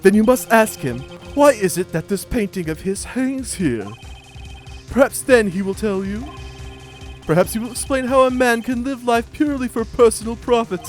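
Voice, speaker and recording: High-pitched, pompous CEO villain. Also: male voice, lively intonation, moderate pace, soft background music. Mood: menacing, sneering. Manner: pompous, theatrical, flowery invented words. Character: smug, pompous, entitled male corporate CEO villain. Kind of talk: video game character voice-over.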